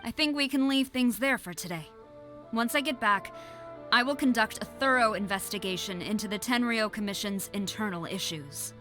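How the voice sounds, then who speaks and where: A woman's voice: mid-range voice, moderate pace, pushed and bright, faint music behind, close to an everyday voice. A woman voicing a proud, disciplined military general who speaks formally and gives orders in a game. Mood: stern. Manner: formal, commanding, clipped. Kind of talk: game voice acting.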